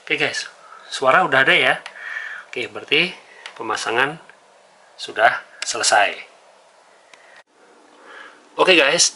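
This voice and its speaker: A man's voice: medium pitch, pushed and bright. An Indonesian man giving a casual, conversational hands-on tutorial as a vlogger. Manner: conversational, often tags phrases with "ya".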